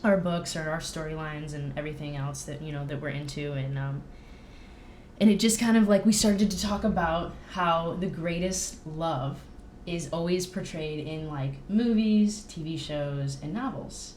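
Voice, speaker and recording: A woman with a low voice talks casually and openly, with an informal, self-deprecating manner. The room rings noticeably around her, with a faint layer of noise underneath. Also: moderate pace, darkish tone. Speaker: band drummer, podcast guest. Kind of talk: casual personal chat. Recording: roomy echo with faint noise.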